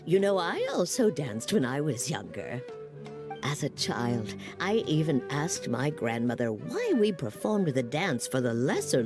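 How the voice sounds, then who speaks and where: A reflective young woman with a low but animated voice, telling a fond story of festivals she danced at as a child, over soft game music. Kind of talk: game cutscene voice acting.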